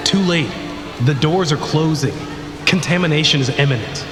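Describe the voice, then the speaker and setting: A man's voice: a little high, normal speed, natural voice. A man acting an urgent, bitter, confrontational colleague in a sci-fi audio drama. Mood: bitter, accusing. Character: bitter, accusing male colleague in a sci-fi disaster. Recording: long echoing reverb under music.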